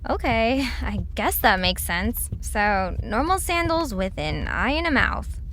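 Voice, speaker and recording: A woman's voice: a little high, sing-song, unhurried, a bit bright, a little hiss or hum. A woman playing a skeptical, anxious young woman in an audio drama, questioning everything in an emphatic, incredulous way.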